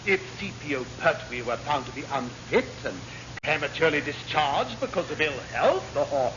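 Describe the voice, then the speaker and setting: A blustering senior naval officer acted by a man in a vintage radio comedy. He is officious and easily exasperated, his high voice leaping about. The sound is muffled, with clear noise. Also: expressive, normal speed, natural voice. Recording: dull, noisy old radio recording.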